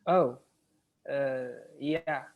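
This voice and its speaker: A man's voice: mid-range voice, steady tone, extremely slow, narrow, tinny audio. A man playing a sassy, blunt, teasing best friend in a screenplay table read. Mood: sassy, dry.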